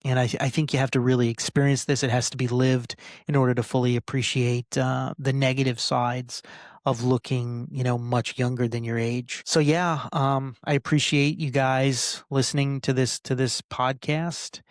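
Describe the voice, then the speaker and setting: A man in his forties talking casually as a podcast host, venting with halting, repetitive phrasing and some swearing. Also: mid-range voice, little pitch movement, no echo, clean studio sound. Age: 40s. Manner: frustrated, sweary. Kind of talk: casual personal rant to listeners.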